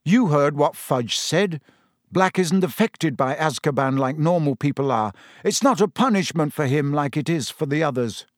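A man's voice: a little high, lively intonation, normal speed, no echo, studio quality, natural voice. A man voicing a brooding, stubborn teenage boy with a terse, intense delivery in an audiobook. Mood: grim. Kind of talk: audiobook character voice.

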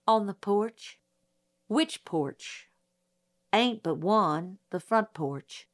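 A woman reading a storybook voices a frightened, defensive young woman witness of nineteen, with a high, strained, rustic way of speaking. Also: expressive, extremely slow. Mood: scared.

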